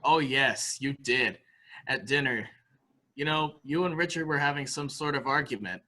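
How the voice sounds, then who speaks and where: Man playing a bright-voiced, guileless, excitable fellow. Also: mid-range voice, normal speed, close to an everyday voice. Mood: excited. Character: excitable, guileless male household member blurting things out. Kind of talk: amateur dramatic reading of a murder mystery.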